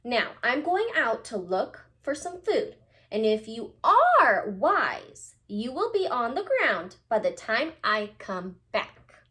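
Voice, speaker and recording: A woman's voice: on the high side, very animated, slow pace, a bit bright, exaggerated voice. A woman voicing a stern storybook mother owl, firm and instructing.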